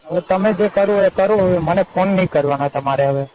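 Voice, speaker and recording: A man's voice: high-pitched, fairly quick, dark tone, close to an everyday voice. A man playing a nervous, dismissive young lover who wants out, speaking colloquially in a staged phone-call drama. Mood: insistent, worried. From India. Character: curt, nervous young lover washing his hands of her. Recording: dull lo-fi phone call recording.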